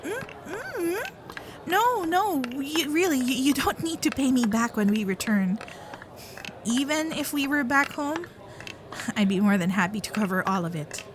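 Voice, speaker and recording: Woman doing a cute fox spirit gf for asmr roleplay, kinda high voice, slow, giggly n flustered. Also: animated, noisy background. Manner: nervous, stammering, giggly, caring. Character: sweet flustered young female kitsune fox-spirit girlfriend.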